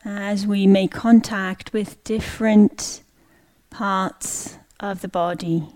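A woman leading a guided meditation, speaking gently in short, unhurried phrases with long pauses. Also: mid-range voice, fairly even intonation, rough recording. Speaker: meditation teacher.

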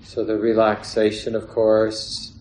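Slow, even-toned man guiding meditation. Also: fairly low pitch. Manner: calm, measured, long pauses between phrases. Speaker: meditation teacher. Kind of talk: guided meditation, unscripted instruction. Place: meditation hall or large room. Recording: roomy echo, faint background noise.

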